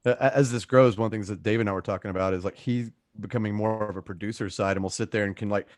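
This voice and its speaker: A man's voice: lowish voice, fairly even intonation, racing, no room sound, clean studio sound. A man co-hosting a casual livestream chat, colloquial and emphatic. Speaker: co-host and actor.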